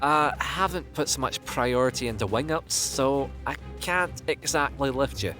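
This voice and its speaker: A man's voice: mid-range voice, moderate pace, sharp tone, faint music behind, lightly acted. A man playing a cocky, joking young winged pony in an audio drama, with a breezy, sardonic, theatrical delivery. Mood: sheepish, joking. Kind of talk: audio drama character acting.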